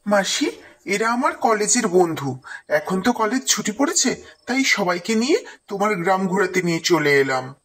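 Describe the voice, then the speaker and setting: A man's voice: high-pitched, big pitch swings, normal speed, fairly bright tone, full character voice. A man playing an eager, curious young college-age nephew in a cartoon.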